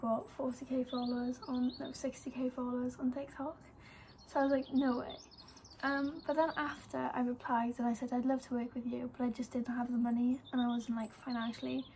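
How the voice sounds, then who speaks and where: Chatty young Welsh woman, high voice. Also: a little mellow, slight background noise. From Wales. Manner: chatty, lots of "like" and "um". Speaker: vlogger. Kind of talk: casual storytelling to camera.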